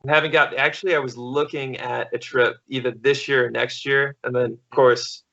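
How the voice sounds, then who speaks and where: An American man in his thirties chats casually as an interview guest. His voice is forward and sharp with plenty of fry, and he rambles as he tells stories. The sound is a little dull, like a video call. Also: mid-range voice, flat delivery, normal speed. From small-town Missouri, USA. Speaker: podcast guest, stuntman and actor. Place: living room at home, on video call.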